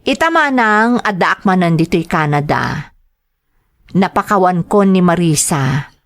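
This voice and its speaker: Woman narrator, lower pitch, earnest, reflective, scripted first-person storytelling, dry recording. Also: lively intonation, unhurried, no room sound.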